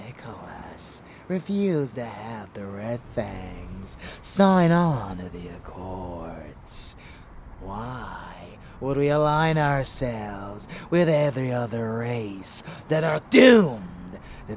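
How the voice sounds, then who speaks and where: A man playing a haughty, seductive and menacing vampire lover in an intimate ASMR roleplay, with breathy, teasing murmurs. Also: on the low side, full character voice. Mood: contemptuous. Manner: breathy whispering murmurs, slow and deliberate, teasing.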